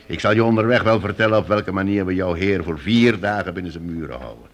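An actor playing a learned travelling minstrel master in a radio play, courteous and dignified but commanding. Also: male voice, low voice, quick pace, natural voice. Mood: firm.

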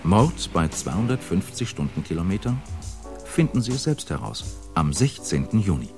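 A man announcing upcoming radio crime dramas in a smooth, scripted trailer narration. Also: low-pitched, big pitch swings, normal speed, loud music behind the voice.

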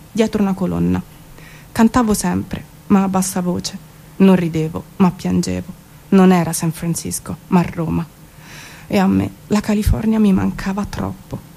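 A woman's voice: fairly low pitch, warm tone. A woman giving a scripted literary reading of her own poetic prose, like a theatrical monologue. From Italy.

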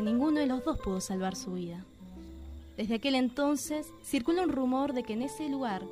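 A woman with an Argentine accent narrating a radio drama in a measured, suspenseful storytelling manner. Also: fairly high pitch. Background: music.

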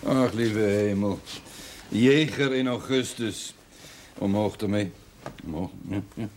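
A man's voice: on the low side, slow, darkish tone. An actor playing a brusque village doctor in a television period drama, dry and teasing with his patient. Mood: brusque, businesslike. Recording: faintly noisy old TV soundtrack.

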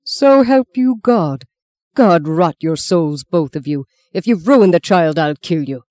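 A man voicing a stern, angry priest in an audiobook, forceful and commanding. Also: a little high, quick pace, no room sound, lightly acted. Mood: furious. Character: angry commanding priest, fiercely scolding quarrelling men.

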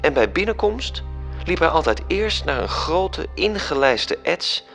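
A man's voice: a little high, sing-song, measured pace, vocal fry, a bit bright, faint music behind, exaggerated voice. An actor narrating a radio drama as an angel telling a story to his superior. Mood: calm.

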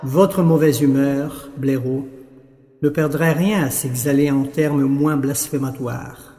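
Pompous judge in a booming echoey hall, male actor. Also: fairly even intonation, slow pace, dark tone. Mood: sardonic. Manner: wordy, formal. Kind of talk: French audio drama acting. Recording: very echoey hall, long reverb.

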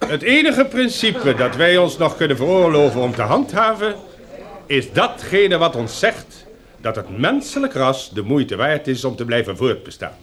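An actor playing a stern, pompous community leader who lectures in formal, measured oratory in a radio drama. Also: male voice, on the high side, sing-song, fairly bright tone. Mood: solemn, commanding. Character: stern, pompous male leader lecturing survivors on new rules.

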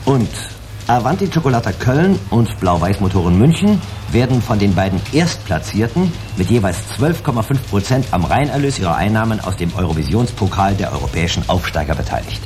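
Low-voiced, matter-of-fact executive. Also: male voice, very animated, a little fast, darkish tone, faint music behind. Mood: businesslike. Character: matter-of-fact male executive reading out a secret contract. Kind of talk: German radio drama, formal reading.

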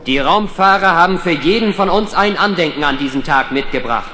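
A man acting a formal announcer in a 1970s German radio play, proclaiming loudly through an echoing microphone. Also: fairly high pitch, animated, brisk. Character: announcer handing out puppets to assembled settlers. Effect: public address microphone echo. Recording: echoey hall, noisy ambience.

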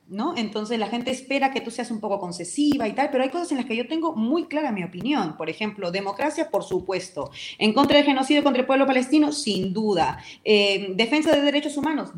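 A woman's voice: a little high, animated, brisk, hardly any pauses. Passionate Peruvian woman, roomy sound. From Peru. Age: adult with a decade living abroad. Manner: vehement, passionate, speaks her opinions firmly. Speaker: interview guest, talk show host and commentator. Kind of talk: radio interview. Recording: roomy echo.

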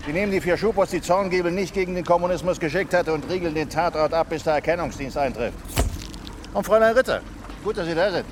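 An actor playing a gruff, condescending police commissioner giving commanding orders in a German radio drama. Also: male voice, fast talker, natural voice. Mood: irritated, barking. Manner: biting, condescending. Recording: noisy outdoor scene with vehicle rumble.

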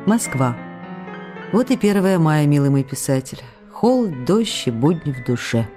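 An affectionate actress reading her letters aloud in a radio drama. Her voice is rather low and soft-edged, intimate and expressive, moving between playful teasing and wistfulness. Faint music plays behind her. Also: unhurried. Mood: melancholy.

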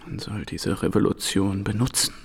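An actor playing a weary, tormented ex-officer, an invalid home from war, in a radio play. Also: male voice, lowish voice, flat delivery, normal speed, creaky, breathy edge. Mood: tormented, brooding. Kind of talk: German radio play acting. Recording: long hall reverb.

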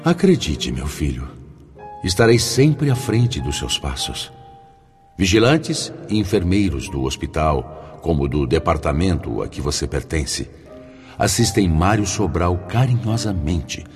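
A venerable spirit mentor in a radio drama. He is deep-voiced and fatherly, orating solemnly with wide swings of pitch, over music. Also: sing-song, measured pace. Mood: solemn comfort. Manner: solemn, oratorical. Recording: music under the voice. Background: background music.

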